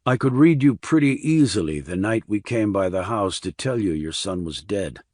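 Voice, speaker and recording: Guy reading as a hard calm army investigator in an audiobook, blunt, husky, very dry sound. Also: lowish voice, moderate pace, no echo. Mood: accusing. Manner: cool, blunt, controlled. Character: hard, calm male military investigator pressing a suspect.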